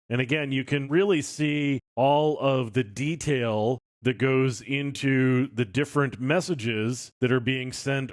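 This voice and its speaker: Male tutorial instructor, middle-pitched voice, informal walk-through delivery, very dry sound. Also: no echo. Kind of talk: screencast tutorial, explaining as he demonstrates.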